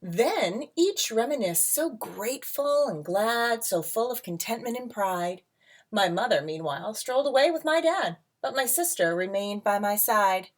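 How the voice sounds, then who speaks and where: A woman reading a picture book aloud to children as a teacher, with dramatic emphasis, drawn-out words and casual asides. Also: a little high, animated. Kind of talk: expressive storybook read-aloud with casual asides. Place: at home.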